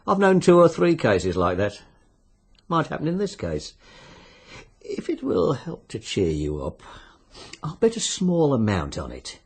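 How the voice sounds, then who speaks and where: Genial but sinister British fixer, low husky voice, swooping intonation, insinuating radio drama delivery. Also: male voice, wildly swooping pitch, a little slow, exaggerated voice. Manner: insinuating, euphemistic, coolly businesslike. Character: sly, genial bookmaker-like fixer arranging deaths by wager.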